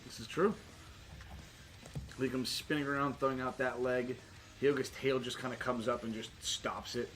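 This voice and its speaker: A man's voice: mid-range voice, fairly even intonation, moderate pace, pauses often, a bit bright. A man acting as game master, casually narrating tabletop fight scenes in informal, sweary language. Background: guitar music.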